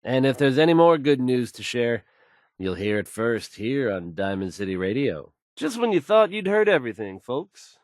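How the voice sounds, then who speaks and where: A man's voice: medium pitch, big pitch swings, moderate pace, no echo, studio quality, close to an everyday voice. An actor playing a confident, folksy male radio DJ in a video game, delivering news in suave showman's patter. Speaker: radio DJ character.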